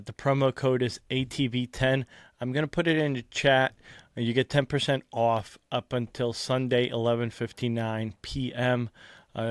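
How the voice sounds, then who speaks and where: Casting: male podcast host, mid-pitched, rambling and loose, dry close recording. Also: smooth, no room sound. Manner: loose, rambling, lots of filler words. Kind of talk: casual podcast chat.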